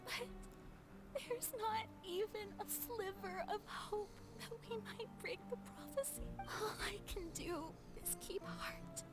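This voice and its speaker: A woman voicing a theatrical water goddess who is grand in public but hushed and anguished when alone. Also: very high voice, slow. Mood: sorrowful. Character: theatrical water goddess, regal yet secretly lonely and weary. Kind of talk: game voice acting. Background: game music.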